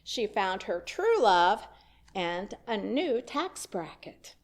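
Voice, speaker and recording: A woman's voice: medium pitch, sing-song, slow pace. A woman minister giving a sermon in a church, telling a story in a conversational way with wry asides. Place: church sanctuary.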